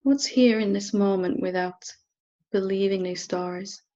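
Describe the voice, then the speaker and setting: A woman teacher leading a guided meditation in a gentle, unhurried manner with long pauses. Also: medium pitch, steady tone, lo-fi sound. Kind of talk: guided meditation, softly instructing.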